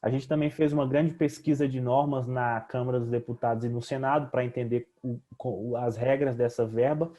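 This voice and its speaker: A man's voice: medium pitch, fairly even intonation, normal speed, hardly any pauses, warm tone. A young man with a Brazilian accent giving a semi-formal, explanatory project presentation as a student, repeating words hesitantly as he goes.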